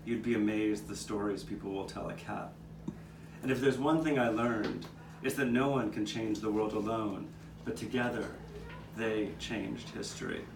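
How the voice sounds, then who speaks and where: A man playing an old street cat who reminisces in a measured, reflective way, as scripted narration for a live puppet show. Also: on the low side, close to an everyday voice. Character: old male street cat, reminiscing storyteller with nine lives. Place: outdoor courtyard. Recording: distant, echoey live taping with background noise.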